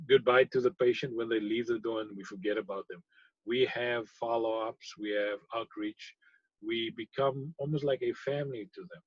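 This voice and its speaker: South African man, husky and hesitant. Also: mid-range voice, dry recording, dull audio. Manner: thoughtful, hesitant with frequent fillers. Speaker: interview guest, pharmacy technician. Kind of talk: conversational interview.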